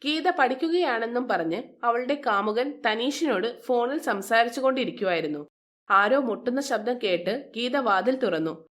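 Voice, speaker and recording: A woman narrator telling a scripted moral story. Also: on the high side.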